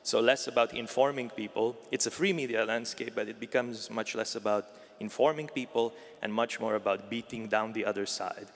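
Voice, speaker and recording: A man's voice: on the low side, fairly even intonation, a little fast, vocal fry, few pauses. Romanian man asking from a reverberant hall. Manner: thoughtful, builds his question at length. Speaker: audience member asking a question. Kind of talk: audience question. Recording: long hall reverb, from the audience.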